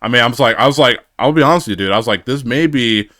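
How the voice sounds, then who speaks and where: A man's voice: mid-range voice, rapid-fire, bright, forceful tone, no room sound, studio quality. A man in his late twenties chatting casually about games, ranting with lots of fillers and restarts. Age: late 20s. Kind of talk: casual gaming chat.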